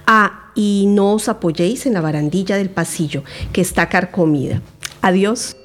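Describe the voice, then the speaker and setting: A woman's voice: mid-range voice, moderate pace, natural voice. A woman acting a breezy, boastful letting agent in an audio drama, piling up selling points as patter. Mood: brisk, cheery.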